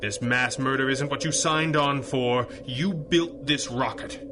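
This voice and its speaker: An actor playing a commanding, noble masked superhero in 1930s-style radio drama, earnest and deliberate. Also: male voice, medium pitch, noisy background, lightly acted. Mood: urgent, pleading.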